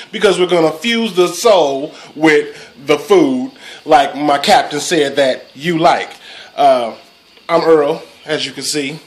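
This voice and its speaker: A man in his thirties introducing himself as a cook in a casual audition pitch, laid-back and rambling, filmed on a phone at home. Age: 30s. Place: small home living room. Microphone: handheld phone camera close up.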